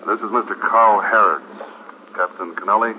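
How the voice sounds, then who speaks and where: A man playing a brisk, deferential policeman in a 1950s radio drama. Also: lowish voice, fairly even intonation, natural voice. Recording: muffled, echoing, noisy 1950s radio recording.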